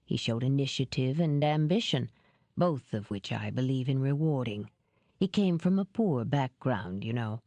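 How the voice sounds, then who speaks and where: A woman voicing a powerful older businessman in an audiobook, courteous, calculating and formal in a measured way. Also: a bass voice, animated, mellow, no room sound, pitched below the performer's own voice. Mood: measured, businesslike.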